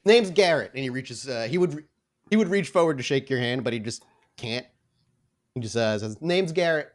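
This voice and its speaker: A man improvising narration as game master of a tabletop game, informal and halting as he corrects himself. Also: mid-range voice.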